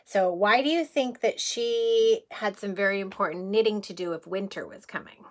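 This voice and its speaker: A woman's voice: medium pitch, moderate pace. A woman teacher reading a picture book aloud to young children, expressively, explaining things simply and asking questions. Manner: asks listeners questions, explains words simply; expressive storytelling, often chuckling. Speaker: kindergarten teacher.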